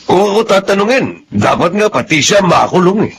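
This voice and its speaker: An actor playing a stern, fed-up Filipino police officer and uncle, blunt and firm, in a radio drama. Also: male voice, sits high, sing-song, normal speed, gravelly, a little hiss or hum, full character voice. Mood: stern, disapproving.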